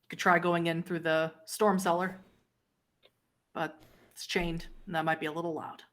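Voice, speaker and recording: A woman's voice: on the low side, level tone, normal speed, close to an everyday voice. Woman playing a grim, desperate vampire outcast. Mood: conspiratorial. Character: desperate, unkempt vampire woman with pet rats, Sabbat runaway.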